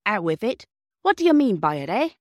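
A woman voicing a gruff but kindly railway fireman in a storybook, blunt and colloquial, with a working-class English accent. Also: medium pitch, sing-song, brisk, no room sound, studio quality, voice pushed up, close to an everyday voice. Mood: demanding.

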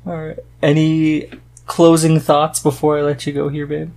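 A man's voice: a little high, monotone, normal speed, gravelly, a little mellow. A man hosting a podcast in a casual, conversational style. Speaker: podcast host.

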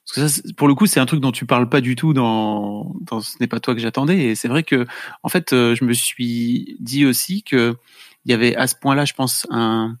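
A man's voice: medium pitch, normal speed, dry recording. Man asking questions in a dry recording. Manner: friendly, probing questions with fillers. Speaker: interviewer, podcast host. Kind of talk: conversational interview.

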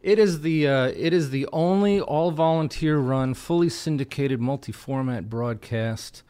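A man's voice: fairly high pitch, unhurried, warm tone, smooth. A man talking conversationally as an interview guest, in an earnest, reflective manner. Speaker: guest singer-songwriter and radio show founder.

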